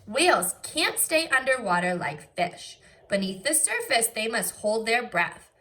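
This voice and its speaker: A woman's voice: fairly high pitch, big pitch swings, a little slow, sharp tone, a little hiss or hum. A woman teacher reading aloud to young children, enunciating carefully and adding short explanatory asides. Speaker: first grade teacher.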